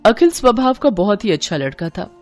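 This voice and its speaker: A woman narrates a scripted story at an ordinary mid pitch. Soft music plays behind her storytelling. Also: sing-song, a little fast.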